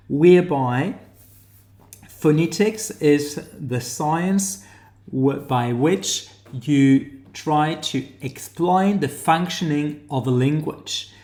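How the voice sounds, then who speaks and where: A man with a New Zealand accent giving a tutorial lecture while sharing his screen, pausing often to find his words as he explains. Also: a little high, a little mellow, roomy sound. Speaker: academic lecturer.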